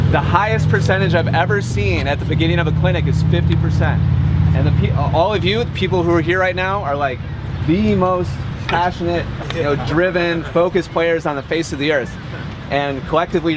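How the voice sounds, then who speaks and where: A man in his thirties coaching a group with emphatic, deliberate instruction. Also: fairly high pitch. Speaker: tennis coach and clinic host. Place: outdoor public tennis courts. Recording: loud outdoor noise, traffic and ambience.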